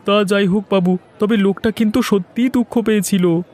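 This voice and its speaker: A man's voice: high-pitched, steady tone, normal speed, a little mellow, a faint crowd, close to an everyday voice. A man voicing an earnest, sympathetic, plain-spoken village fisherman in a cartoon. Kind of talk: cartoon dialogue acting.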